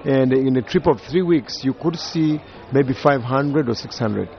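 A man's voice: medium pitch, a little slow, dull audio, faint music behind. A man with a Ugandan accent, a safari tour operator talking in a radio interview, hesitant and searching for words with many fillers.

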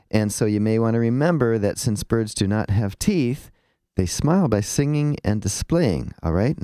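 A man's voice: lowish voice, dark tone, dry recording, clean studio sound. A man hosting a radio talk show, upbeat, asking short follow-up questions.

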